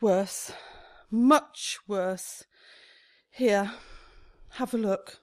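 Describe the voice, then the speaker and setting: A woman's voice: medium pitch, drawn-out words, no echo, clean studio sound. A woman acting in a radio play as a stressed, despairing shop owner, weary, clipped and self-pitying. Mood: bitter, deflated.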